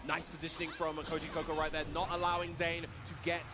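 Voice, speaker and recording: A man's voice: fairly high pitch, completely flat delivery, fast talker, loud music behind the voice. A man giving live commentary on a fighting game match as an esports caster.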